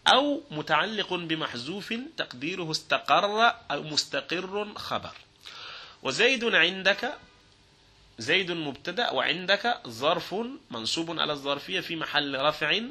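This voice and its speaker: A man's voice: on the high side, lively intonation, moderate pace, sharp tone. A man teaching Arabic grammar in a recorded lesson, explaining step by step through worked examples and asking and answering his own questions.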